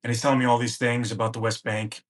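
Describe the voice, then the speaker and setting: A man's voice: mid-range voice, little pitch movement, fast. A man, a podcast guest, recounting a personal travel story in present tense and acting out the dialogue he remembers. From United States.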